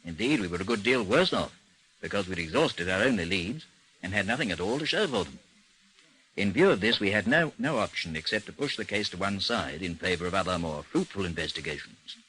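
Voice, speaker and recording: An actor playing a weary investigator, narrating a case in formal, measured old-time radio style with a husky voice. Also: male voice, low voice, expressive, pushed and bright, full character voice, poor audio. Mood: grave, matter-of-fact. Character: world-weary male investigator narrating his case report.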